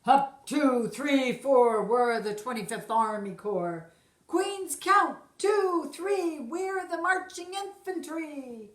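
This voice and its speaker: Woman as loud chanting bug soldiers. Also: high-pitched, lively intonation, slow pace, voice pushed up. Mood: spirited. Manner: loud, rhythmic marching chant. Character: marching troop of bug soldiers chanting cadence. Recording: roomy echo.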